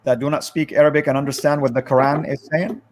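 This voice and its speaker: A man hosting a live stream discussion, thanking moderators and questioning a guest in a halting way. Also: on the high side, little pitch movement, background noise. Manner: halting, with many fillers and restarts.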